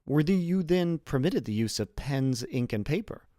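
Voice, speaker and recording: A man's voice: a little high, animated, moderate pace, warm tone, dry recording, studio quality, voice pushed up, close to an everyday voice. A man voicing an earnest younger prisoner, formal and full of questions, in an audiobook reading. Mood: curious, amazed. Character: earnest, astonished younger male prisoner, eager to learn.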